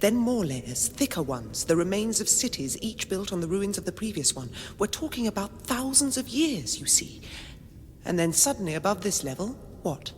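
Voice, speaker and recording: An actress playing an earnest, brisk young female archaeologist in a radio comedy, explaining emphatically. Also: big pitch swings, natural voice. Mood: earnest, insistent. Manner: didactic, emphatic, urgent. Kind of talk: radio comedy drama acting. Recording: echoey reverberant radio drama, rumbling noise.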